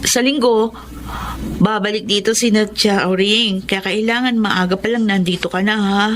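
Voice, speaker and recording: A woman's voice: mid-range voice, fairly even intonation, measured pace, natural voice. An actress playing an earnest, hopeful young woman of humble life in an emotional radio drama. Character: earnest, grateful young poor woman full of hope. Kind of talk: radio drama acting and emotional first-person narration.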